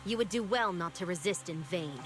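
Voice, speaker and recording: A woman's voice: fairly low pitch, expressive, a little fast, faint music behind, lightly acted. Stern female officer voiced by an actress. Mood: stern, cold. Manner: formal, commanding. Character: stern, cold female law officer commanding units. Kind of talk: video game voice acting.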